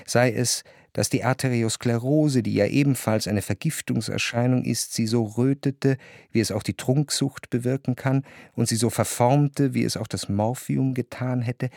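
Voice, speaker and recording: An actor playing a reflective, observant gentleman narrator in a radio drama, telling it in a measured literary manner. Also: medium pitch, no room sound, studio quality, lightly acted. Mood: descriptive. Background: music.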